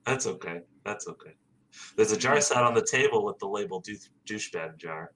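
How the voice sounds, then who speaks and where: A man's voice: lowish voice, expressive, fairly quick, fairly bright tone, slight background noise. A man hosting a podcast table read, switching between casual chat and narrating stage directions.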